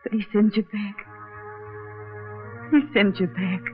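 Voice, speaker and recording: An actor playing an anguished, bitter, wronged person in a 1940s radio melodrama. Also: male voice, high voice, steady tone, takes it slowly, music in the background. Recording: tinny vintage radio recording.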